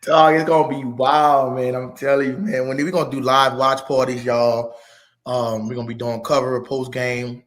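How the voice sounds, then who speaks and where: Laid-back profane streamer. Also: male voice, mid-range voice, fairly even intonation, normal speed, fairly bright tone. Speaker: stream host. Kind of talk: casual late-night livestream chat. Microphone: laptop mic.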